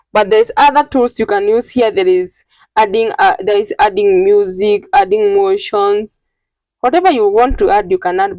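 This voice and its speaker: A woman narrating an informal step-by-step tutorial in a halting, repetitive, instructional way. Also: medium pitch, a little mellow, dry recording, low-quality recording. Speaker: tutorial host.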